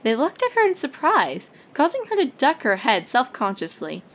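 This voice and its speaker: A woman's voice: sits high, wildly swooping pitch, normal speed. A woman reading scripted narration of a comic story as the storyteller.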